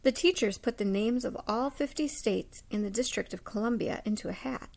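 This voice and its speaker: A woman reading a children's story aloud expressively, with dramatic pauses and hushed asides. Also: a little high, creaky. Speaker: storybook reader.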